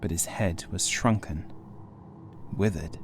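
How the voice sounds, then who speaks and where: A man reading a gothic horror audiobook in the first person, in a measured, formal storytelling manner. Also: low-pitched, background noise. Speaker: audiobook narrator.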